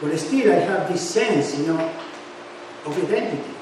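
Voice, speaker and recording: A man giving a sermon at a temple, talking haltingly with many fillers. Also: fairly high pitch, expressive. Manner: leads call-and-response chanting. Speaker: lecturer at a temple. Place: temple hall. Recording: echoey hall, mic at a distance, noisy.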